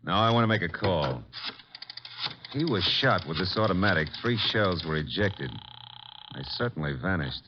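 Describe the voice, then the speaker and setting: Muffled old radio drama with a streetwise private eye. He has a low, deep voice and talks in casual, clipped phrases, watchful and thinking aloud. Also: expressive, normal speed, noisy background. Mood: brisk, reporting. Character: streetwise, watchful male private detective's legman. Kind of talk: old-time radio detective drama acting. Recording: dull, lo-fi old radio recording.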